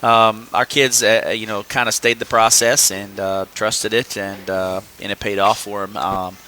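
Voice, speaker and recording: Halting, bright-voiced coach. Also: male voice, lowish voice, level tone, slight background noise, rough recording. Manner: halting, many fillers and restarts. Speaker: high school basketball coach. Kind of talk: casual podcast chat.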